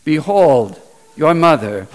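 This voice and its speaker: A man playing a calm, resolute condemned holy man in a dramatized scripture reading, with solemn, deliberate delivery. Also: a little high, low-quality recording. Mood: solemn, tender.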